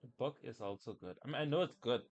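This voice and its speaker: A man's voice: mid-range voice, fast. A man chatting casually on a gaming livestream in a slangy, informal way, talking to his viewers. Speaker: game livestreamer.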